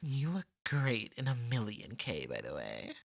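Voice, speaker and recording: Woman voicing a husky, jealous cat girl. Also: extremely low pitch, huge pitch swings, a little slow, no echo, clean studio sound, heavily acted. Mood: jealous, scornful. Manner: snippy, self-satisfied, cooing. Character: jealous, possessive yandere cat girl, snide and lovesick. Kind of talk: ASMR roleplay acting.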